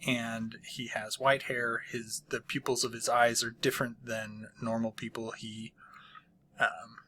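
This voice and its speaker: Host of a small book channel: unhurried, hesitant man who fills gaps with ums and keeps clearing his throat. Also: medium pitch, little pitch movement, bright, forceful tone. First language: not Polish. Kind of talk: casual spoken book review.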